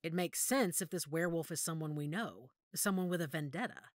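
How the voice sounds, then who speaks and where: Pack leader mother, werewolf audio drama, female actor: low register, decisive, strategic, dry studio sound. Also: a little fast, no room sound, close to an everyday voice. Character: commanding werewolf pack matriarch, a calculating mother.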